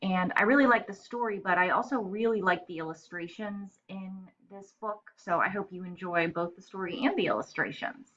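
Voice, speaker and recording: A woman reading aloud as a teacher, narrating a storybook and pausing to explain in simple terms. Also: mid-range voice, fairly bright tone, highs cut off.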